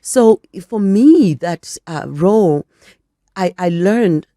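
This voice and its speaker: An older woman, a guest on a radio interview, telling her story in a measured, reflective way, a little croaky from a flu. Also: mid-range voice, lively intonation, warm tone, dry recording, studio quality. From South Africa. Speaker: actress and guest.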